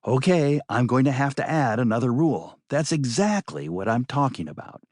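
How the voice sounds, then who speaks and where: A man's voice: mid-range voice, very animated, normal speed, darkish tone, no echo, clean studio sound. A man voicing a bossy, scolding woman in an audiobook, lecturing emphatically like an exasperated ex-girlfriend. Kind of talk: audiobook character voice.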